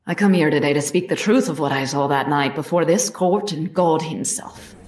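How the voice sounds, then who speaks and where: Woman playing a firm, low-voiced colonial soldier's wife. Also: moderate pace, a little mellow, close to an everyday voice. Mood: firm, earnest. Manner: firm, formal, period phrasing. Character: plain-spoken, devout colonial soldier's wife testifying. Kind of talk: dramatized courtroom testimony. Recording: roomy echo, distant mic.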